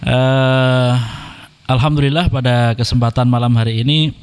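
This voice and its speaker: Measured man in his thirties. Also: mid-range voice, steady tone, clean tone, big-room sound. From Indonesia. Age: 30s. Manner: measured and polite, frequent "eh" and "ya" fillers. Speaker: podcast guest, Quran reciter. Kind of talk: casual podcast conversation.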